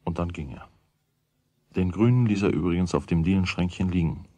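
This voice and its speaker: An actor narrating a children's radio play as a wry storyteller with a husky voice. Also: male voice, sits low, brisk, warm tone, no room sound. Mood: wry, matter-of-fact.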